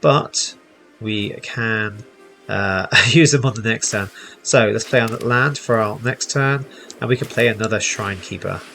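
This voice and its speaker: A man's voice: lowish voice, measured pace, gravelly, sharp tone, soft background music. A man hosting a casual game tutorial, explaining each step as he plays. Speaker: host, gaming teacher. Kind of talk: casual tutorial commentary over gameplay.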